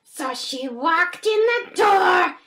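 A very high, bright dinosaur puppet for a children's show. The creature is polite, generous and flustered, hesitating theatrically. The sound is roomy. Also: female voice, lively intonation, takes it slowly, exaggerated voice. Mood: obliging, flustered. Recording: roomy home recording with background noise.

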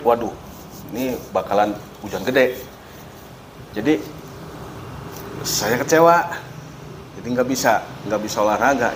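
A man's voice: medium pitch, slight background noise, low-quality recording. A man in his forties hosting a casual review vlog in an indoor workshop, chatting and explaining things step by step with friendly asides. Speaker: DIY channel host. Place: workshop with tools on the wall, indoors.

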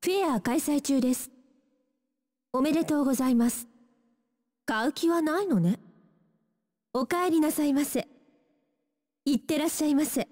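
A woman's voice: sits high, moderate pace, long pauses, darkish tone, big-room sound, natural voice. An actress voicing a polite young female shopkeeper in a Japanese video game, giving courteous, neat short greetings. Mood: courteous, inviting.

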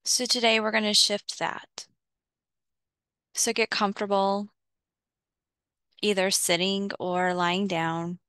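A woman leading a guided visualization, giving measured instructions in short phrases with pauses between them. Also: medium pitch, flat delivery, bright, forceful tone, smooth, no echo. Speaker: guided meditation host.

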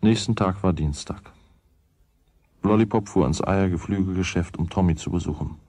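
A man with a husky voice reading scripted storybook narration in a radio play. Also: deep voice, normal speed, dark tone, dry recording.

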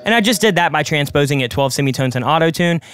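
Fast-talking young man explaining production. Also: a little high, lively intonation, fairly bright tone, clean tone, no room sound, studio quality, soft background music. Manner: quick, conversational, explains each step. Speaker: music producer and channel host. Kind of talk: screen-recorded production tutorial. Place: home studio with colored lights.

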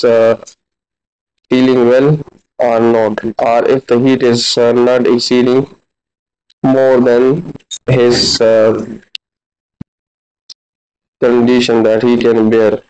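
A man in his thirties giving a halting, instructional practical demonstration as a physiotherapy teacher. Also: mid-range voice, darkish tone, clean tone. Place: large indoor classroom clinic room. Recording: dull, lo-fi camera audio.

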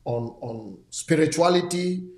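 A man's voice: fairly high pitch, animated, a little slow, a little mellow, reverberant. A man in his sixties, a pastor hosting a talk show, who repeats words for emphasis and urges listeners on. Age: 60s. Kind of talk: conversational mentoring talk. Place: studio set, indoors.

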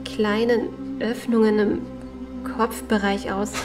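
A woman playing a serious, steady survivor in an audio drama, speaking in a grave and deliberate way. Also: mid-range voice, over music, close to an everyday voice. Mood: grave reading. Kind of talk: German audio drama acting.

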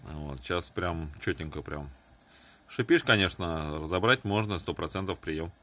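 A man's voice: deep voice, unhurried, a bit bright. A man chatting casually as an amateur radio operator, heard over a two-way radio transmission. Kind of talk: casual ham radio chat. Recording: noisy, humming FM radio transmission.

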